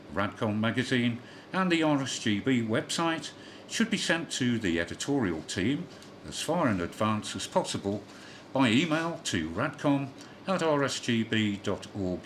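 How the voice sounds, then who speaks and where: Low-voiced man reading a bulletin. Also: fairly bright tone, slight background noise. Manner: formal read-aloud delivery, spelling out call signs. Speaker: radio news bulletin reader. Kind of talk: scripted amateur radio news bulletin.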